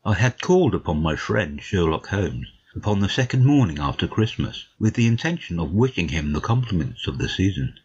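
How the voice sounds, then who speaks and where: Guy playing courteous gentleman narrator, low husky voice, lively but measured storytelling, kinda muffled audio. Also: full character voice.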